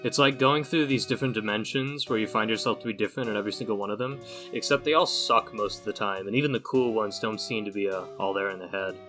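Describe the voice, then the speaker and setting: A cool, sardonic teenage boy in a comic dub, played by a man. He talks casually and deadpan in a fairly low voice, with soft music behind. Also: fast, hardly any pauses, natural voice. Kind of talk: fan comic dub dialogue.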